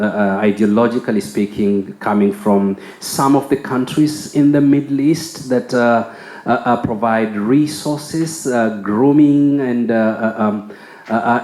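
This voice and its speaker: A man speaking unscripted as a conference panelist and researcher, haltingly and often repeating words. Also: lowish voice, a little mellow. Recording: roomy conference hall sound.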